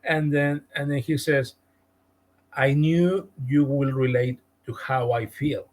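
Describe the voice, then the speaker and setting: A man with a Spanish-speaking Latin American accent, a real estate agent guest telling a story in an animated podcast interview. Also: medium pitch, slow. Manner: animated, retells conversations with emphasis.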